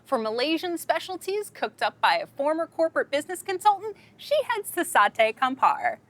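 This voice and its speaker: A woman's voice: sits high, big pitch swings, moderate pace, a bit bright. A woman hosting a restaurant talk show, narrating intros and questioning guests in an upbeat presenter manner. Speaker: host. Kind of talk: scripted narration and hosting a food chat.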